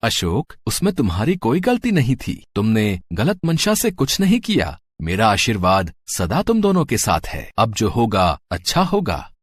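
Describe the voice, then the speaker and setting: A man voicing a gentle, kindly god in an animated story, with a deep, soft, measured delivery. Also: breathy edge, no room sound, studio quality, heavily acted. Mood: benevolent. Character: gentle divine boy-god, calm and kindly. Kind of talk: cartoon story voice acting.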